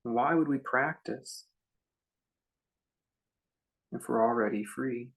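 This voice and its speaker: Creaky-voiced man guiding meditation. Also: medium pitch. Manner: contemplative, unhurried, with long pauses between short phrases. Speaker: meditation teacher. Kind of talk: guided meditation talk.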